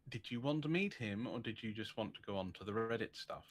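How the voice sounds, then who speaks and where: A man's voice: on the low side, animated, fairly quick. A man co-hosting a live stream, dryly teasing and putting on mock menace. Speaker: stream co-host. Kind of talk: playful banter on a live stream.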